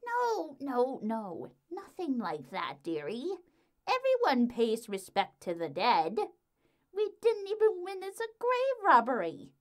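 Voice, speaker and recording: A woman playing a slow, kindly old lady pony. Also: high voice, huge pitch swings, full character voice. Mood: reassuring, grave. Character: elderly kindly female pony, solemn and reassuring. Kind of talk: amateur audio drama acting.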